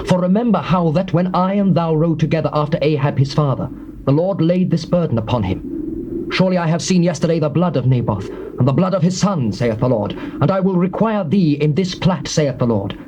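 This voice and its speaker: A man's voice: fairly high pitch, lively intonation, brisk, lo-fi sound, lightly acted. An actor with a British accent playing a fierce, commanding biblical warrior king, declaiming imperiously in dramatised scripture. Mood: solemn, commanding. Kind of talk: dramatised scripture, classical stage acting. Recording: noisy old cassette tape recording.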